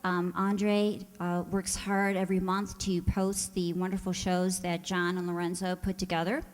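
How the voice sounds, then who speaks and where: A woman's voice: lowish voice, little pitch movement, normal speed. A woman giving a live thank-you address to an audience from the stage of an auditorium. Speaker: radio players' member giving thanks from the stage. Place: large indoor township auditorium, on stage. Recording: echoey auditorium with long reverb.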